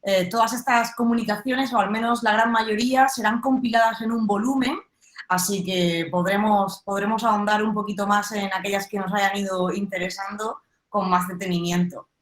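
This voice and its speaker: A woman's voice: mid-range voice, steady tone, moderate pace. A woman from Spain with a Peninsular Spanish accent, moderating an online academic panel, reading questions and closing the session. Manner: friendly, formal wrap-up with fillers. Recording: echoey room audio.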